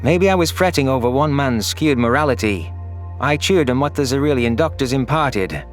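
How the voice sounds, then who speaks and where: A man's voice: mid-range voice, expressive, normal speed, soft background music. A man narrating a scripted science fiction story in the first person as an anguished, guilt-ridden alien. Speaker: narrator of a sci-fi audio story.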